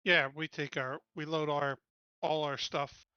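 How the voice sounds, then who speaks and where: A man playing a tabletop game, speaking haltingly over a voice call. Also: fairly high pitch, level tone, bright, forceful tone, clean tone, no room sound, highs cut off. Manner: halting, restarts phrases. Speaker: player. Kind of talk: casual game chat.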